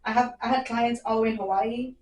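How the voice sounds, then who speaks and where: A woman's voice: on the high side, fairly even intonation, fast, a little mellow, slight background noise. A young woman, an immigration lawyer explaining things plainly and reassuringly in casual podcast chat. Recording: roomy, mic a bit far.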